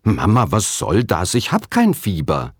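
A man's voice: lowish voice, huge pitch swings, measured pace, slightly rough, no echo, clean studio sound, heavily acted. A man voicing an earnest, cheeky schoolgirl who tells tall tales insistently in a children's storybook reading. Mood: indignant.

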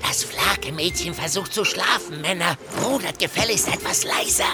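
A man voicing a gruff but soft-hearted cartoon pirate first mate who gives orders to a crew, with music behind. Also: high voice, normal speed. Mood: gruffly indulgent. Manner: barking orders, easily softened. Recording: music under the voice.

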